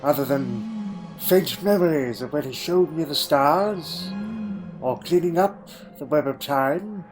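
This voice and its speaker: A man playing a wistful, eccentric time-travelling alien in an audio drama, with a halting, reflective way of talking. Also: a little high, animated. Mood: wistful, musing. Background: faint music.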